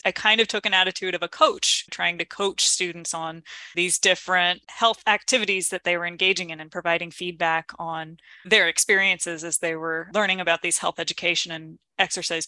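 A woman's voice: on the low side, pushed and bright, dry recording. A woman co-hosting an educational podcast, discussing teaching in a supportive, reflective and articulate conversational way.

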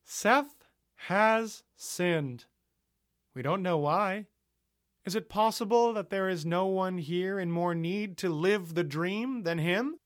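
A man voicing a persuasive, creepy young male host who talks in a smooth, rousing way, like a preacher. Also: high-pitched, slow, no echo, clean studio sound, pitched above the performer's own voice.

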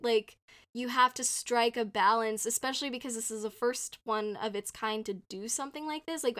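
A young woman from North India with a fairly high voice, talking through a film in casual chat. She agrees readily and slips into playful acted-out quotes. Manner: animated, quick to agree, acts out quotes in a playful voice.

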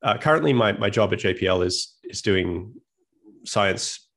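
A man's voice: on the low side, animated, measured pace, a bit bright, slightly rough. A man in his thirties with an Australian accent, giving a friendly, self-deprecating slide presentation as a guest physicist over a video call.

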